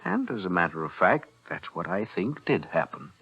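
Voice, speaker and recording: An actor playing a shrewd, composed defense attorney, measured and insinuating, in 1940s radio thriller style. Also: male voice, sits low, very animated, gravelly, breathy edge, exaggerated voice. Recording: dull vintage radio recording.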